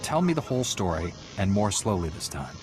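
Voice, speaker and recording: Game Jedi knight, lowish voice, formal authority, self-assured, heavy music bed. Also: male voice, very animated, fairly quick, rough recording. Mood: stern, probing. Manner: firm, commanding, formal. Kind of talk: video game voice acting.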